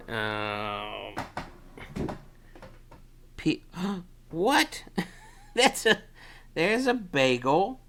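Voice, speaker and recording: A man hosting a storytime, chatting casually and playfully with young children in an encouraging, halting, rambling way. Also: fairly high pitch, wildly swooping pitch, a bit bright. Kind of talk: casual read-aloud chat to young children.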